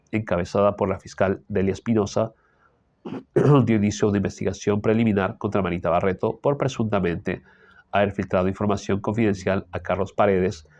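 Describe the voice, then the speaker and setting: Low-voiced man reading political commentary. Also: darkish tone, no room sound. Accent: Peruvian Spanish. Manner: frequent throat clearing and tag questions. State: allergic, clearing his throat. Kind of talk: reading a news column aloud with personal asides.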